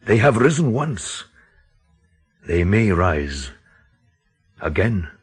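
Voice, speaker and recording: An actor in a radio drama playing a grave, intense man who speaks in an emphatic, deliberate, theatrical way. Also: fairly low pitch, sing-song, slightly rough, heavily acted. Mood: grave, foreboding. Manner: emphatic, dramatic, deliberate phrasing with rhetorical questions. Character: grave, intense countryside man expounding a dreadful theory.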